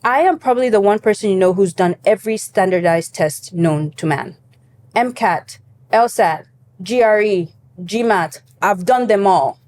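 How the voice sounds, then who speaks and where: A young adult woman from Cameroon, a guest in an interview, telling her story in a lively casual way and acting out her younger self. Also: mid-range voice, moderate pace. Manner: animated storytelling, acts out her younger self, laughs easily.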